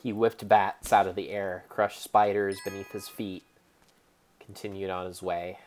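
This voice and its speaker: A young man narrating gameplay like a dramatic storybook, in a theatrical, measured way with pauses. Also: low voice, little pitch movement, soft background music. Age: young adult. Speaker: game streamer.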